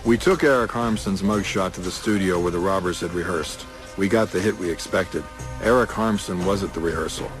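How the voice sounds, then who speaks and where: An actor plays a veteran male detective in an audio crime drama. His voice is very deep, clipped and dry, skeptical and deadpan, with clear background music. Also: brisk, natural voice. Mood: wry narration. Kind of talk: hard-boiled radio crime drama acting and first-person narration.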